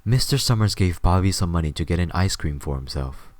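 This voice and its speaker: A man's voice: deep voice, animated, a little fast, darkish tone, no echo. A man reading scripted story narration as the narrator of an audio drama.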